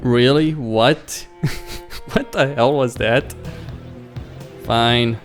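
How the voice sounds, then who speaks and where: A man's voice: medium pitch, very slow. A man voicing an irritated, blunt rival pro gamer in a visual novel game, grumbling indignantly. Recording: music behind the voice.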